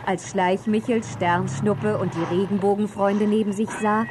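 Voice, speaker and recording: A woman's voice: mid-range voice, little pitch movement, unhurried, very noisy, close to an everyday voice. A woman narrating a scripted children's story.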